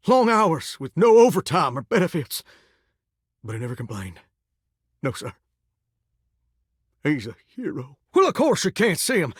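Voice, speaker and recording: A man voicing an earnest, excitable shower come to life as a folksy country singer with dreams, in an American Southern accent, for a video game. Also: high voice, no room sound, clean studio sound, full character voice. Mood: earnest, sentimental. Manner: folksy, earnest, flirty drawl with dramatic swoops. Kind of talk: video game character voice acting.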